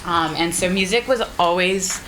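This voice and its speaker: A woman's voice: fairly low pitch, normal speed, a bit bright, slight background noise, low-quality recording. A woman born in Guatemala and raised in Houston and New Jersey, telling her story as a podcast guest in a studio, candid, laughing often and swearing casually. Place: recording studio.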